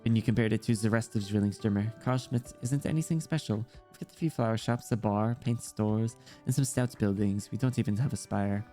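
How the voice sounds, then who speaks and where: A man's voice: fairly low pitch, brisk, few pauses, warm tone, close to an everyday voice. A man reading the game lines of an earnest, proud ten-year-old girl in a plain storytelling way. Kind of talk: reading visual-novel dialogue aloud. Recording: music playing behind the voice.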